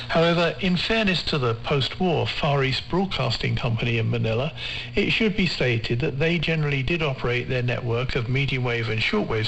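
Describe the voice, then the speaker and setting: A man's voice: mid-range voice, fairly bright tone. Man reading radio feature over noisy shortwave. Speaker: radio reporter and presenter. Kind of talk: scripted radio feature narration.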